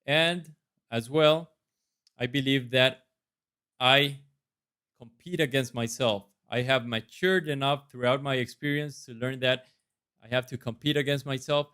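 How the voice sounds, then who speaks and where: A man's voice: medium pitch, a little slow, pushed and bright, smooth. Earnest Costa Rican-accented man. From Costa Rica. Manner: earnest, self-assured. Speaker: residency applicant. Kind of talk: mock interview answers to camera.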